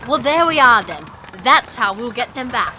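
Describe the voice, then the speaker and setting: A woman's voice: on the high side, expressive, normal speed, pushed and bright, a little hiss or hum. A woman playing an earnest, idealistic and determined young princess in a fantasy audio drama, with an impatient edge. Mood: earnest, optimistic. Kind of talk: full-cast audio drama. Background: music.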